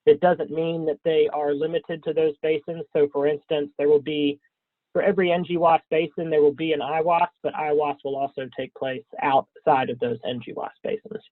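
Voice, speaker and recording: A man presenting slides in a webinar, scratchy from a cold, speaking haltingly with frequent ums and throat clearing. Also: fairly high pitch, level tone, no room sound. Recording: thin phone-like webinar audio, dry.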